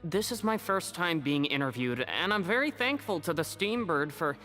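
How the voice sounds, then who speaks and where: A man's voice: on the high side, very animated, normal speed, bright, forceful tone, big-room sound, soft background music. An actor playing a shy, modest researcher in a video game, nervous and deferential, tripping over his words. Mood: flustered. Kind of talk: video game character dialogue.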